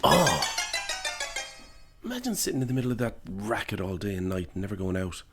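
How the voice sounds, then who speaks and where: A man's voice: lowish voice, very animated, a little fast, over music. A man playing a weary, sardonic neighbour who grumbles uneasily, in a naturalistic audio horror drama. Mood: sardonic, fed up.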